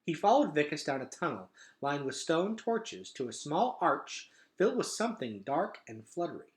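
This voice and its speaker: A man reading a children's novel aloud as narrator, in an expressive storytelling style. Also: a little high, normal speed, reverberant. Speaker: read-aloud reader.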